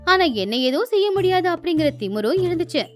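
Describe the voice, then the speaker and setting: A woman narrating a scripted animated moral story. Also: extremely high pitch, quick pace, soft background music.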